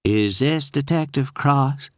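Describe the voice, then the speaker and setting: A man voicing a frightened, secretive married woman with a Southern accent, halting and near tears, in an audiobook. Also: mid-range voice, no echo, clean studio sound, pitched above the performer's own voice, lightly acted. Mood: hesitant. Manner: halting, sobbing, pleading. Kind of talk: audiobook character voice.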